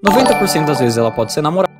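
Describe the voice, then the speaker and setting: A man's voice: fairly high pitch, sing-song, racing. A Brazilian man narrating a scripted review as a video essayist, informal and opinionated. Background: background music.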